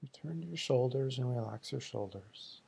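A man leading a guided meditation in slow, deliberate, soothing short phrases, with a husky, gravelly voice. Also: mid-range voice, lively intonation, mellow, rough recording.

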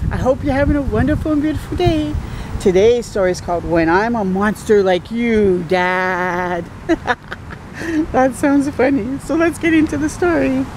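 A woman's voice: a little high, expressive, normal speed. A middle-aged woman hosting a children's read-aloud outdoors, with simple, exclaiming talk aimed at kids. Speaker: children's storytime host. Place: outdoors under trees. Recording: clear outdoor ambient noise.

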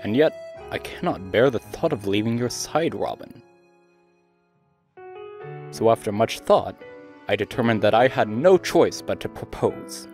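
An earnest young knight from a fantasy game, played by a man, speaks in a somewhat deep voice. His delivery is formal, solemn and chivalrous, full of devotion. Faint music plays underneath. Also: lively intonation, measured pace, long pauses, a little mellow. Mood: tender, devoted. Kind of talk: fan dub of a fantasy game dialogue.

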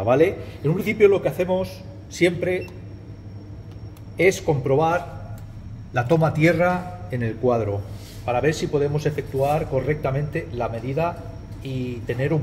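A man's voice: mid-range voice, a little hiss or hum. A middle-aged man, an electrician casually explaining his work to viewers and repeating words as he goes. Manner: talks to viewers as "chicos", repeats words, frequent "¿vale?". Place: small indoor restaurant back room. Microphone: body-worn camera, first-person view.

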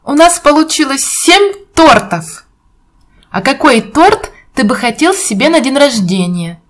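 A woman's voice: high-pitched, sing-song, measured pace. A woman narrating an educational video for young children in an encouraging, sing-song teacher's manner, putting questions to the viewer. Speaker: presenter of a children's counting video.

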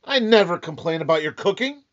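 A man playing a groggy, grumpy husband in a radio-style comedy, tossing off deadpan wisecracks. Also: high-pitched, brisk. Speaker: community theater actor. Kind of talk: old-time radio comedy reading. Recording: dull lo-fi recording.

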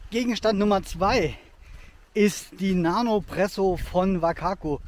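A man's voice: high-pitched, measured pace, a little mellow, slight background noise. A man in his thirties talking casually while hiking through a rocky forest, reviewing outdoor gear with lots of fillers and enthusiasm. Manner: chatty and enthusiastic, with frequent fillers.

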